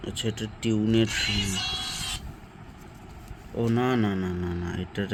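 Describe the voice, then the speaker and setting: A man's voice: on the low side, fairly even intonation, brisk. A man, chatting casually and thinking aloud in short, informal remarks like a vlogger reviewing a product. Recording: clearly noisy, ambient and vehicle sound.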